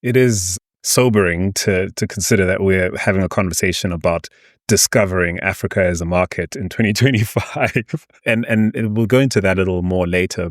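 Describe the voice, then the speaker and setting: Deep voiced guy hosting a podcast, thoughtful long questions, dry sound. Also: no room sound. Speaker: podcast host. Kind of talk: podcast interview.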